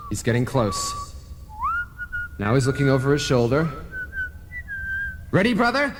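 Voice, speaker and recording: A sanctimonious male ghost, played by a man in a radio drama, officious and theatrical. His pitch swings widely as he preaches in formal phrases, all in long, hollow reverb. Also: big pitch swings, a little slow, very noisy. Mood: officious, urgent. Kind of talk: old-time radio play acting. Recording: long reverb, analogue radio broadcast tape.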